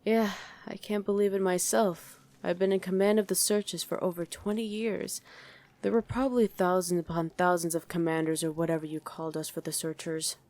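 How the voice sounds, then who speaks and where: A woman's voice: on the low side, fairly even intonation, a little fast, a little mellow, lightly acted. A woman voicing a condescending, sardonic female demon commander in a chatty ASMR roleplay. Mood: amused, curious. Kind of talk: ASMR roleplay acting addressed to the listener.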